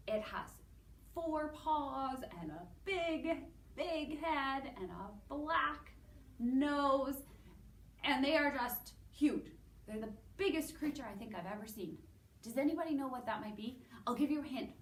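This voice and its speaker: A woman with a Canadian accent presenting to young children as a story time host, in an animated way with simple, drawn-out wording. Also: high-pitched. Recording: roomy, distant mic with background noise.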